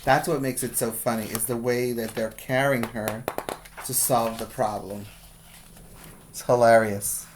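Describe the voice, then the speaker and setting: A man reading a picture book aloud to young children as their teacher, with expressive, deliberate emphasis. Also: mid-range voice, background noise. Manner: slow, deliberate, with dramatic emphasis.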